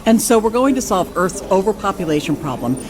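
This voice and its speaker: An actress playing a sceptical, level-headed colleague in a science fiction audio drama, speaking in a measured, dry, challenging way. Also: female voice, mid-range voice, very animated, noisy background, lightly acted.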